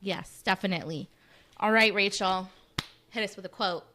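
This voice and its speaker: A woman's voice: medium pitch, normal speed, pushed and bright, a little hiss or hum. A woman co-hosting a live broadcast segment on missing persons, agreeable and reading reports steadily. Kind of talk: casual live show chat.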